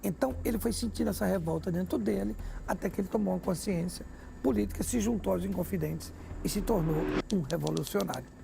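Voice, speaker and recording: A man's voice: high voice, fast talker, vocal fry, background noise. A man explaining reflectively in a documentary interview.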